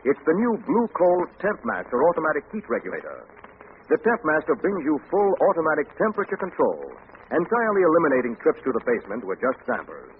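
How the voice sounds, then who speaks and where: A man's voice: fairly high pitch, wildly swooping pitch, fast. A man announcing a scripted commercial in 1940s radio style. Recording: tinny, faintly noisy old radio broadcast.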